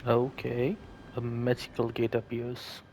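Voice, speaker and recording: Casual gameplay commentator: gravelly, slow, muttering to self, clear rumbling noise in the recording. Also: monotone, darkish tone. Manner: muttering to self, sing-song filler. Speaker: gaming video maker.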